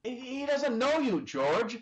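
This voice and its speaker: A woman's voice: fairly high pitch, big pitch swings, slow, lo-fi sound. A woman playing an innocent, centuries-old male angel in a radio play, quaint and earnest with small hesitations. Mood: earnest, solemn. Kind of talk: community theatre radio play acting. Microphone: video call.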